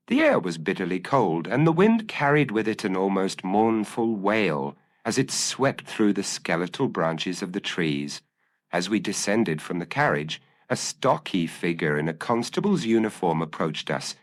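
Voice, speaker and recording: A man narrating a scripted gothic mystery story in a formal, literary storytelling manner. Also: deep voice.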